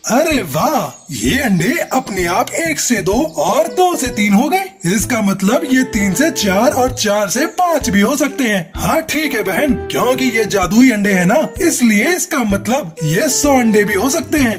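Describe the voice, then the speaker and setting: A woman's voice: on the high side, very animated, a little slow, few pauses, over music. A woman voicing a greedy, foolish, menacing hawk in a cartoon story, with a gloating and boastful manner. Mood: foolish excitement. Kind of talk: Hindi cartoon story character acting.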